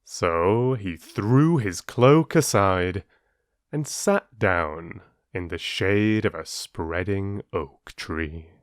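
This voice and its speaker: A man with a lowish voice tells stories as an audiobook host. His delivery is very unhurried and expressive, with deliberate dramatic phrasing. The sound is close and dry. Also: no room sound. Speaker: storyteller and channel host. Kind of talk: expressive audiobook narration of fables.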